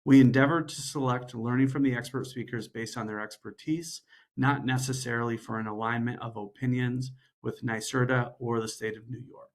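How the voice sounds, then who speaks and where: A man's voice: mid-range voice, fairly even intonation, normal speed. A man hosting a webinar, reading a formal, scripted introduction of the speaker. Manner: formal, scripted, quieter than usual. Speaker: webinar host.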